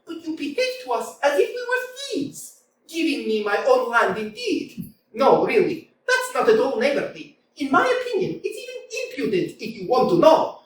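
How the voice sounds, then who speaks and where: A woman's voice: sits high, wildly swooping pitch, normal speed. A woman voicing an indignant, proud young landowner in a staged reading of a stage comedy, with an emphatic, argumentative delivery. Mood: furious. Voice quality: emphatic, argumentative, sing-song outbursts. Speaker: community theater actor. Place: small community theater. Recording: echoey room, from far off, faint noise.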